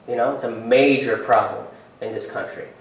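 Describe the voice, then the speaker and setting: A young man from India doing live stand-up comedy on a theater stage, ranting in a sarcastic, politely angry way with cursing and quick asides. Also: medium pitch, fairly even intonation. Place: theater stage before a laughing audience. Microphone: handheld mic, heard from far off in the hall. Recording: very echoey theater sound from a distance.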